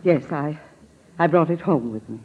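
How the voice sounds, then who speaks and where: A woman's voice: sits low, normal speed, slightly rough. An actress playing an anxious, bitter well-to-do woman in an old-time radio drama. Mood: tense. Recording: tinny, reverberant old radio recording.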